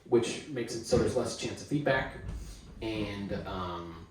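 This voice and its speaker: A man's voice: on the low side, slow pace, a little hiss or hum. Laid-back man explaining gear, echoey room. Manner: relaxed, rambling, many fillers and restarts; blunt, opinionated advice. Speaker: dance instructor explaining audio setup. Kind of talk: casual how-to demonstration.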